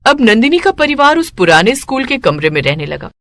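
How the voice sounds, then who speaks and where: A woman narrating a scripted moral story as an expressive storyteller. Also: fairly high pitch, fairly quick, no room sound, studio quality.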